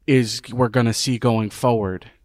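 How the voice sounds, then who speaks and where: A man hosting a sports podcast, talking in a friendly, steady, conversational way. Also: mid-range voice, measured pace, no room sound, studio quality. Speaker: podcast host. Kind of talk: casual sports podcast chat.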